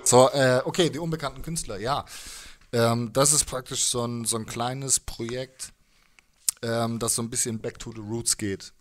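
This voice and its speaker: A man in his thirties talks in a loose, slangy interview style. His pitch sits in a middle range. He rambles and jokes along casually, speaking into a handheld mic indoors. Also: steady tone, normal speed. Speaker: musician, interview guest. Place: living room music space, indoors. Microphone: handheld microphone.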